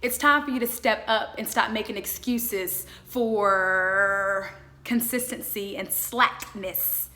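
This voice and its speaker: A woman in her twenties giving a motivational faith talk, speaking in an emphatic, exhorting way with deliberate repetition. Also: medium pitch, sharp tone. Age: 20s.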